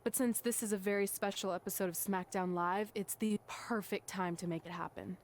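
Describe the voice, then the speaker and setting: A woman's voice: mid-range voice, fairly even intonation, a little fast, slight background noise, close to an everyday voice. A woman voicing a wry young wrestler, a proud best friend, in a video game cutscene, sarcastic and grudging. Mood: feigned cool.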